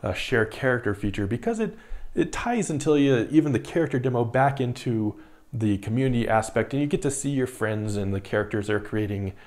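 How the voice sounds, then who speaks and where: A man in his thirties, an indie game developer, talking casually through a screen-recorded devlog with a relaxed, rambling manner and many fillers. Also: medium pitch, fairly quick. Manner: relaxed, chatty, frequent uh fillers and repeated words. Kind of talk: casual video devlog narration.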